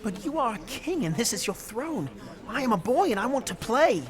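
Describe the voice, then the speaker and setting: A woman's voice: a little high, big pitch swings, moderate pace, crowd noise. A performer playing a boastful, cunning young boy prince in an audio drama, grand and playful in manner. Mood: petulant. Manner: grandiose, mock-heroic.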